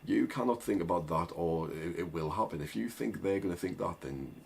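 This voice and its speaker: A man's voice: low voice, expressive, a little fast, gravelly, darkish tone, a little hiss or hum. A young man with a thick northern English accent, giving rambling casual advice with lots of "like" and "right?". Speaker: agency owner vlogger. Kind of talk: casual advice talk to camera.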